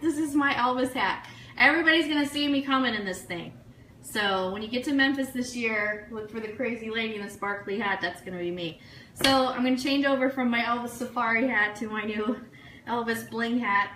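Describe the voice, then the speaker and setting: Bright, high-voiced woman livestreamer. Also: fairly quick. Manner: gushing, effusive, excitable. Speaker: online radio show host. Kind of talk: casual chatty livestream with sponsor shout-outs. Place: room, live social media stream. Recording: echoey room, faint noise.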